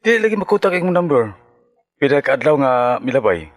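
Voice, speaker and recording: A man's voice: on the high side, very animated, a little fast. A man with a Cebuano accent acting an earnest, sympathetic suitor, speaking sincerely and measuredly in a radio drama. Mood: tender, earnest. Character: earnest, sympathetic suitor courting a married woman.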